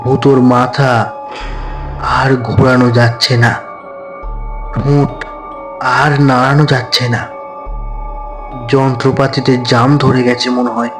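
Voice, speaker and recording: A male narrator tells a horror story with dramatic, deliberate phrasing. His pace is very slow and builds suspense, and faint music runs behind his mid-pitched voice. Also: steady tone. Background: faint background music.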